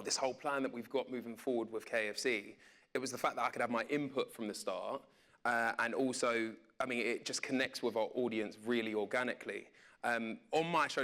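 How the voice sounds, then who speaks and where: A man's voice: mid-range voice, a bit bright. Man chatting as panel moderator. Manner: informal, conversational. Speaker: radio presenter moderating a panel. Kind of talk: casual panel chat.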